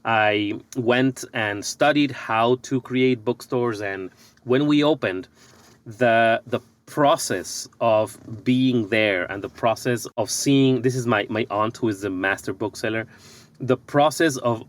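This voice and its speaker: A middle-aged man from Ecuador speaks as a podcast guest. He thinks as he talks, pausing often and restarting his phrases in a mid-range voice. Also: medium pitch. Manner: thoughtful, pauses and restarts often. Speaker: guest, architect and business coach. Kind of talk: conversational podcast interview.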